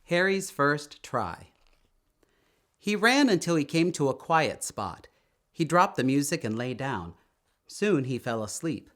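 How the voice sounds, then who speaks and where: A man reading a children's story aloud in an expressive, emphatic storytelling manner, drawing out words. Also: a little high, a little slow. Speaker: storytime reader.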